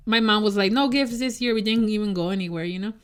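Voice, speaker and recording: A woman's voice: mid-range voice, fast talker, smooth. A young woman whose first language is Spanish, co-hosting a podcast in casual chat and telling stories with frequent laughs. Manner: giggly, chatty storyteller. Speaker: podcast co-host.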